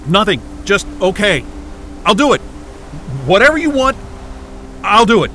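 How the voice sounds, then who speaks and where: An actor in an audio drama playing a frightened but defiant captive scientist, stammering, agitated and foul-mouthed. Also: male voice, high-pitched, sing-song, unhurried, bright, forceful tone, a little hiss or hum, full character voice, rough recording. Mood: nervous, pleading.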